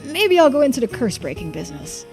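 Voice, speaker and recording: A woman's voice: a little high, very animated, quick pace, vocal fry, a little mellow. A woman plays an easygoing young diver from a game. The talk is slangy, cocky and laid-back, with music behind.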